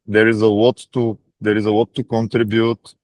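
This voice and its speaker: Man, Bulgarian accent, low even voice, dry sound, halting corporate answers with repeated words. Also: no room sound. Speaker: defense practice lead at a technology company. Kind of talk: answering questions on an earnings call.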